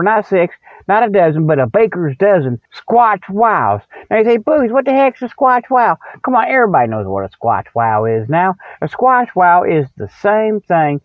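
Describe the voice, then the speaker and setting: An American man hosting a solo comedy show in a folksy, rambling, repetitive way, drifting between digressions and mock sales pitches. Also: no echo. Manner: folksy, digressive, repetitive, loses his thread. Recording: muffled, thin phone-like radio sound.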